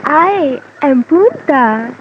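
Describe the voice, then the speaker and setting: A gentle, innocent island girl in a vintage radio drama. She coos in a very high, soft voice, slowly piecing together simple broken English. The old recording is dull, with faint noise. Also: sing-song, exaggerated voice. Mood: innocent, puzzled. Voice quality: soft, cooing. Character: gentle, simple young island woman tending a castaway.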